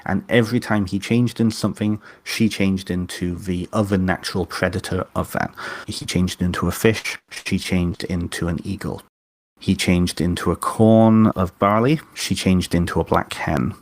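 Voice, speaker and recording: Low-voiced Welsh-tinged man retelling legend. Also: fairly even intonation, normal speed. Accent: Welsh-tinged British. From Wales. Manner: enthusiastic, retells legend at length with restarts. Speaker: podcast guest, author and witch. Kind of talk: casual storytelling interview.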